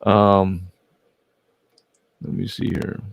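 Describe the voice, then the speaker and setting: A man's voice: sits low, little pitch movement, very slow, gravelly. A man hosting a casual livestream interview, friendly and prone to stumbling restarts. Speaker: host.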